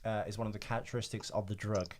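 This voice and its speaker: A man's voice: fairly low pitch, flat delivery, fast, a little hiss or hum. A man hosting a casual film trivia podcast, explaining things in a hesitant, informal way. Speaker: podcast host.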